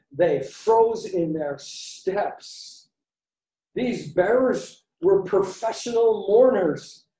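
A man with a Canadian accent preaching a sermon, using dramatic pauses and emphatic repetition. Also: high voice, mellow. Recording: echoey room, distant mic.

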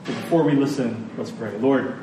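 A man preaching a sermon as a pastor, conversational and earnest, with rhetorical questions and emphatic pauses. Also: medium pitch, fairly even intonation. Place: large room, preaching to a congregation. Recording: echoey room, distant mic, faint noise.

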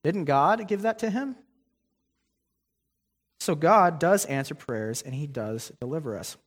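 A man's voice: on the high side, unhurried. A young man giving a guest sermon in a conversational way, with many ums and restarts. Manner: conversational preaching with frequent fillers and restarts. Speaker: guest preacher. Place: church sanctuary. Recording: long hall reverb.

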